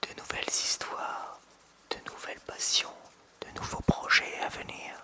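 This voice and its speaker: A man in his thirties whispering softly into a close binaural microphone for ASMR, with hesitant restarts. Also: unhurried. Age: 30s. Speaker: ASMR creator. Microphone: close binaural mic with hand sounds.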